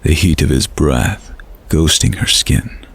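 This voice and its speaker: A man's voice: a bass voice, takes it slowly, vocal fry. A man narrating a scripted fantasy audio story.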